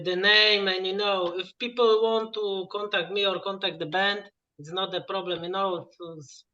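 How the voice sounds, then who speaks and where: A man's voice: sits high, bright, forceful tone, muffled recording, slight background noise. A man from Poland with a Polish accent, a guest musician talking casually in English and filling his speech with "you know" as he searches for words. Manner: halting, lots of "you know" fillers. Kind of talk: casual interview over a call.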